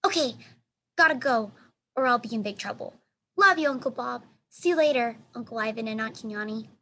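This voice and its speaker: A woman's voice: fairly high pitch, animated, fairly quick, sharp tone, slight background noise. A woman voicing an earnest, affectionate little elephant girl in a children's storybook. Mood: cheerful. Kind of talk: children's storybook read-aloud.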